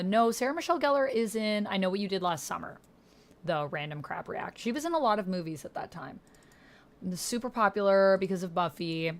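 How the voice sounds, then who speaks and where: A woman's voice: fairly low pitch, a bit bright. A woman hosting a roleplaying game livestream, chatting informally and thinking aloud as she types. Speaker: streamer hosting a solo actual-play roleplaying game. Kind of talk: casual livestream chat, reading out typed roleplay. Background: computer keyboard typing.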